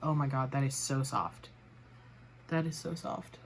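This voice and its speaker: A woman vlogger chatting casually through a makeup tutorial, a little raspy from a cold, narrating each step with self-deprecating remarks. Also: very deep voice, fairly even intonation, measured pace, faint music behind. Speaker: beauty vlogger.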